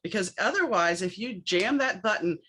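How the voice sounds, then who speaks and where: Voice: mid-range voice, expressive, normal speed, pushed and bright, slightly rough. A podcast host chatting casually in an interview and drawing everyday analogies. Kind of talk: conversational interview.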